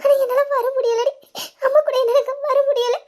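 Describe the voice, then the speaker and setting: A woman's voice: very high voice, flat delivery, normal speed, darkish tone. A woman voicing a lovesick young woman who sobs and pleads to her younger sister. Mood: tearful, longing. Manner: sobbing, pleading, breaking into tearful laughs. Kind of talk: dramatized audio story.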